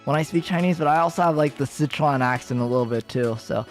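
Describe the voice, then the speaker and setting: A man's voice: fairly high pitch, fast talker, faint music behind. A young man with an American accent chatting with viewers on a livestream, rambling and giggly, full of fillers and restarts. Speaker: VTuber streamer. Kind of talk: casual livestream chat with viewers.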